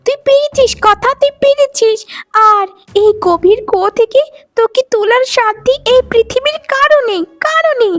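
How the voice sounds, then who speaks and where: Casting: spiteful twin-sister wife, extremely high voice, theatrical cartoon whine, loud music underneath. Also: female voice, normal speed. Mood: gloating, venomous. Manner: theatrical, whining, scolding. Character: jealous, scheming young wife, twin sister, whiny and spiteful. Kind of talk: melodramatic cartoon voice acting.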